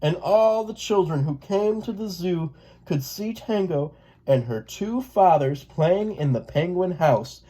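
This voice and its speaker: Slow, mellow storybook reader. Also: mid-range voice, animated, slightly rough, rough recording. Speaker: read-aloud storyteller. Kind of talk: children's picture book read-aloud.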